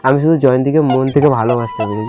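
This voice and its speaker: A man's voice: mid-range voice, very fast. A man with a West Bengal accent making a prank call in casual talk, pleading and insistent, often repeating himself. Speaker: prank caller. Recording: loud music under the call. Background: music.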